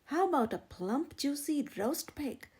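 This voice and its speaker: An impulsive iguana from a storybook, acted by a woman. It is high and very animated, keen and sure of itself despite being clueless. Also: unhurried. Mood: indignant, grumbling. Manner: eager, overconfident.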